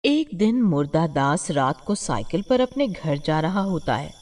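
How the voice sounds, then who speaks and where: A woman's voice: on the low side, sing-song, unhurried, a little mellow, slight background noise. A woman narrating a scripted horror story in a dramatic storytelling manner.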